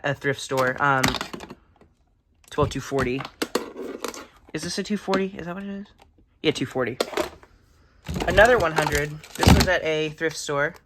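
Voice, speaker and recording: Halting young man over clattering noise. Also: a little high. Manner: halting, matter-of-fact, listing items. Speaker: hobbyist collector giving a tour. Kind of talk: casual unscripted show-and-tell narration. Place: indoors in a room, handling plastic bins. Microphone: handheld phone camera. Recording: noisy handheld recording with clattering.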